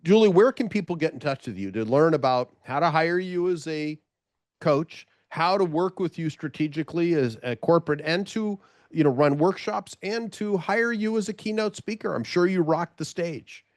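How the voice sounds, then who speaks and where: A man's voice: on the high side, animated, moderate pace, no echo. A middle-aged man from Los Angeles hosting a podcast interview, chatty and joking, with a lot of laughing asides. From Los Angeles, USA. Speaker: podcast host. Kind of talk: casual interview chat.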